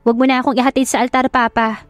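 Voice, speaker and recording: A woman voicing a bitter, defiant young bride with a sharp-tongued, emphatic delivery in an audio drama. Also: high voice, flat delivery, fast, no echo, faint music behind, pitched above the performer's own voice, lightly acted. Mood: threatening.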